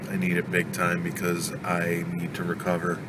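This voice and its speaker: A man's voice: sits low, monotone, normal speed, background noise. A man with a stuffed-up cold talking casually in a daily vlog, venting his frustration. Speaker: vlogger.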